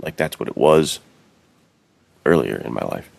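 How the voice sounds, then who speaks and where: A man's voice: extremely low pitch, expressive, measured pace, creaky, no echo. A man co-hosting a podcast, chatting casually and musing through his opinions. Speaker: podcast co-host. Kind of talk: casual chat.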